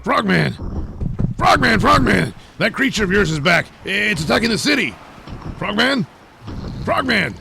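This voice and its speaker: A man voicing an exasperated, easily outraged superhero with a sputtering, shouting manner in a comic audio drama. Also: high-pitched, big pitch swings, measured pace, vocal fry, long pauses, noisy background, voice pushed up, heavily acted. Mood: panicked, exasperated.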